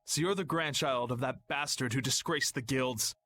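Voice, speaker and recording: An actor playing a mocking, bullying guild thug in an anime video game dub. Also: male voice, mid-range voice, normal speed, bright, forceful tone, slight background noise, lightly acted. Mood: mocking, contemptuous. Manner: mocking, goading. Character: sneering, bullying male guild thug taunting a girl. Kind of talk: English-dubbed anime video game acting.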